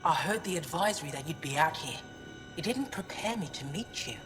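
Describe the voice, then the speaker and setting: Deep-voiced, reserved alien scholar. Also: female voice, expressive, fairly quick, fairly bright tone. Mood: challenging. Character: earnest, challenging female alien scholar, resolute and reserved. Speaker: archaeoastronomer. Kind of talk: video game dramatic acting. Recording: long reverb, clear music behind voice.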